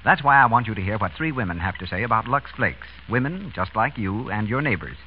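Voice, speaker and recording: A man's voice: on the low side, animated, fairly quick. An American man announcing and selling on a 1930s radio show with polished, emphatic delivery. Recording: dull, faintly noisy vintage radio.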